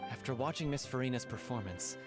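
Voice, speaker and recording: An actor playing a sincere, somewhat hesitant young theater performer in a video game. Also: male voice, medium pitch, quick pace, close to an everyday voice. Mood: earnest. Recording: music behind the voice.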